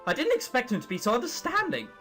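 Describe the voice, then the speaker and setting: A young troll girl voiced by a man tells her storybook tale. She is fretful and dramatic, with a high, very animated voice. Music sits faintly behind her. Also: fast talker, exaggerated voice. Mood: weary, wistful. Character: young female troll narrator, flustered, embarrassed, defensive storybook heroine. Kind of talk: visual novel narration read aloud.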